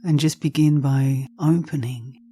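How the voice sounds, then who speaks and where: A woman leading a guided meditation, speaking gently and softly in unhurried phrases with long pauses, with some breathiness. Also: rumbling low, steady tone, slight background noise. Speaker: meditation teacher.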